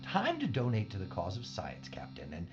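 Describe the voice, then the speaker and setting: A mad scientist villain from a sci-fi audio drama , acted by a man, with a swooping, animated delivery. He is pompous and coldly polite, full of mock regret. The sound is dull and lo-fi, with music clearly behind him. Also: fairly low pitch, normal speed. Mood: smug lecturing.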